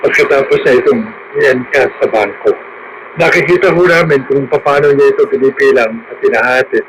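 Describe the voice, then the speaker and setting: Male actor for anguished Filipino prisoner and father, medium pitch, meek and pleading, radio drama with clear background noise. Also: normal speed, a bit bright, close to an everyday voice. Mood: earnest pleading. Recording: noisy radio drama broadcast.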